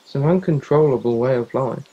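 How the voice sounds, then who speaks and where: A man's voice: medium pitch, fairly quick, mellow, husky, muffled recording, natural voice. A young man in a youth theatre play acting a worried, caring teenage friend, earnest and pleading. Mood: gentle, reflective. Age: young adult.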